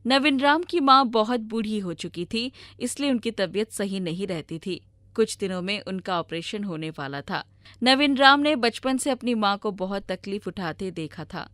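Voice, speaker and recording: A woman narrates a moral tale in a scripted storybook style. The voice is mid-pitched and the recording is dry, with hardly any room sound. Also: fairly bright tone, no room sound.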